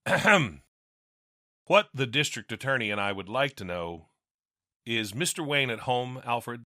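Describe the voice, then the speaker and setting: A man playing a bright-voiced police captain. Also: medium pitch, lively intonation, unhurried, no room sound, studio quality. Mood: brisk.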